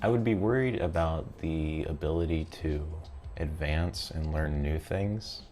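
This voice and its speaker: A man's voice: rumbling low, measured pace, music in the background. A man hosting a live online radio show, chatting casually with callers in an easygoing way.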